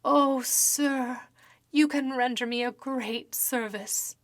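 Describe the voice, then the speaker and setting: A woman voicing a mysterious, suffering ghostly lady in a horror story, pleading in drawn-out moans. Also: high-pitched, fairly even intonation, no room sound, clean studio sound. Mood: anguished pleading. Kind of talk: theatrical horror story reading.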